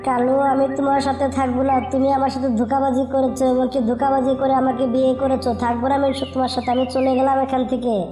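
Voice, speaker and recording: A woman's voice: sits high, level tone, quick pace, warm tone, close to an everyday voice. A woman voicing a vain, mocking young female bird in a cartoon, in a boastful, complaining manner. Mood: indignant, complaining. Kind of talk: cartoon character dialogue. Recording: roomy echo with music behind.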